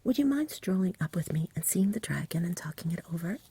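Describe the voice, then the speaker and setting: An earnest village boy, as whispered by a woman reading a storybook, pleading and a little impatient. The voice is very breathy and dark, made for ASMR. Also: lowish voice, expressive, fast, full character voice. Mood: hopeful. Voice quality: soft, whispery. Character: earnest, impatient young village boy defending his friend.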